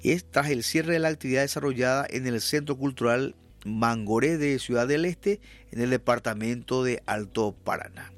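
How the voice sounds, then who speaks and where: A man with a Paraguayan accent hosting a talk radio show, chatting with guests and reading news items with frequent fillers. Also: mid-range voice. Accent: Paraguayan Spanish. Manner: hesitant with many fillers and restarts, then reading news steadily. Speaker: radio host.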